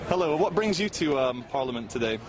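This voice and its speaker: A man's voice: on the high side, animated, fairly quick, a loud crowd. A man with an American accent, an activist eagerly interviewing someone on a city street, with many fillers. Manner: eager, enthusiastic, filler-heavy. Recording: street noise.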